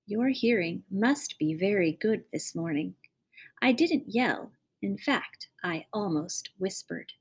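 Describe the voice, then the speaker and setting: A woman reading aloud, voicing a patient father who speaks quietly and calmly. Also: mid-range voice, normal speed, hardly any pauses. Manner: quiet, calm.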